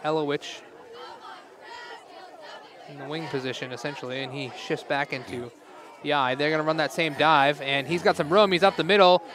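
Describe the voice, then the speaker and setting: A man calls a live high school football game play by play, upbeat and quick to laugh. His voice is a little higher than most and going hoarse as he works. A crowd can be heard clearly behind him. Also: fairly even intonation. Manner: quick, chatty, laughs easily. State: losing his voice. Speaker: play-by-play announcer. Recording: crowd noise in background. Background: crowd noise.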